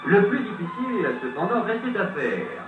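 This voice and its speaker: A man narrating a scripted documentary in a 1950s French newsreel style, telling the story theatrically with dramatic pauses. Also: high voice, huge pitch swings, over music. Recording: old tinny band-limited film soundtrack, roomy, distant.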